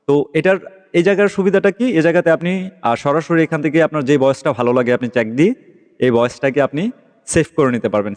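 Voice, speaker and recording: Male tutorial host, casual and explanatory, mid-pitched, heavy reverb on the voice. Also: a little mellow. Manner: explanatory, often checks listeners follow. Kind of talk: casual app tutorial voice-over. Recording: long reverberant echo.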